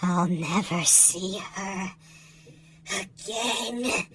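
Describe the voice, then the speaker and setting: A man voicing a grieving, vengeful rabbit creature in a horror story, with a strained, raspy voice and slow, anguished delivery. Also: high-pitched, background noise, pitched above the performer's own voice. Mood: quiet grief. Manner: anguished, slow, building to screams.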